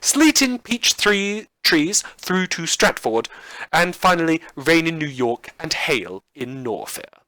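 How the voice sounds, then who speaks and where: A man's voice: fairly high pitch, takes it slowly, dry recording, heavily acted, rough recording. Man putting on a campy, swooping radio newsreader. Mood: breezy, theatrical. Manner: campy, grandly enunciated, deadpan over grim news. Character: campy, theatrical dystopian radio newsreader with mock-cheerful gravity. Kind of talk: scripted parody news broadcast.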